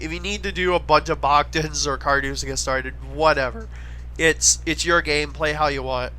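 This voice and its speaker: Bright-voiced man with a slight head cold. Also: fairly high pitch, rough recording. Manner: chatty, enthusiastic recommending. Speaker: gaming video creator. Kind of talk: casual gameplay commentary voice-over.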